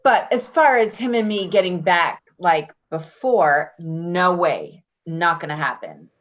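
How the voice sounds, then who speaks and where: Actress as breezy, slow-talking, lowish-voiced friend. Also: female voice, lively intonation, fairly bright tone. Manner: offhand, giggly, hedging. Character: breezy, evasive, self-serving female friend. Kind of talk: play reading, casual dramatic dialogue. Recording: dull lo-fi recording.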